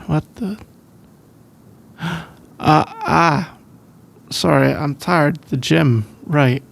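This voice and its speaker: A man's voice: fairly high pitch, animated, creaky, exaggerated voice. A man with a British accent playing a sleepy, shy college boyfriend in an intimate ASMR roleplay, hesitant and rambling. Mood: flustered, amused. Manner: hesitant, halting, rambling with soft laughs. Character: sleepy, shy, easygoing young male college student boyfriend.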